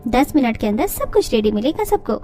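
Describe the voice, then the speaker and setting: A woman's voice: a little high, very animated, fairly quick, dark tone, faint music behind. A woman voicing a cheerful, confident, crafty young daughter-in-law in a cartoon family story. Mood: secretive, pleased.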